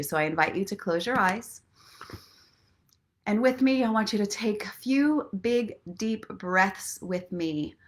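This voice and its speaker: Expressive woman hosting an inspirational livestream. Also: medium pitch. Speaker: host of a live online story and inspiration show. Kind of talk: casual live chat mixed with guided relaxation and motivational talk.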